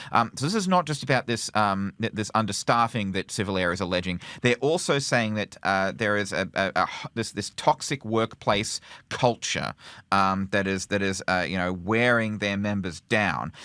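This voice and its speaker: A man co-hosting a podcast, reporting news conversationally in a halting way, often rephrasing himself. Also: on the low side, fairly bright tone, no echo.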